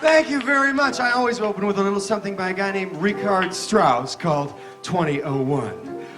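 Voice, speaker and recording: A man's voice: high voice, moderate pace, loud music behind the voice, a loud crowd, close to an everyday voice. A man playing a showy lounge singer, greeting an audience with cabaret stage patter. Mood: showy, welcoming.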